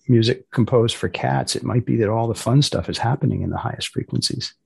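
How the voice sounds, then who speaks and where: Deadpan man, husky and creaky, close dry sound. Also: medium pitch, no room sound. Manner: deadpan, dry, deliberate questioning. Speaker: podcast host and interviewer. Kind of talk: podcast interview and scripted announcements.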